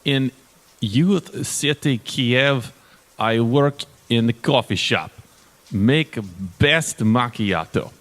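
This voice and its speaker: A man playing a taciturn, childlike Ukrainian amnesiac who speaks halting, broken English in terse bursts. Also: medium pitch, fairly bright tone, long reverb tail, voice pushed up. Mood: halting, earnest.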